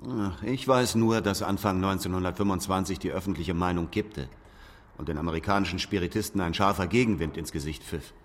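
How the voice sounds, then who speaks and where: A man's voice: low-pitched, fairly quick, big-room sound. An actor playing a sceptical investigator in a radio drama, pointed and accusing as he questions and recounts. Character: probing, sceptical male investigator. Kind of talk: radio drama dialogue and narration.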